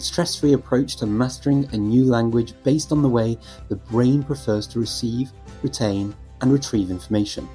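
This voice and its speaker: A man hosting a podcast, asking questions in a measured way and reading sponsor messages. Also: medium pitch, dark tone. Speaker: podcast host.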